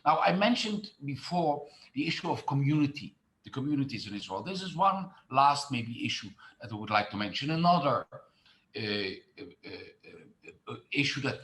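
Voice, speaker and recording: A man's voice: mid-range voice, sing-song, normal speed, fairly bright tone. A middle-aged man from the Netherlands, a tourism marketing expert who speaks with many fillers and restarts in an online presentation.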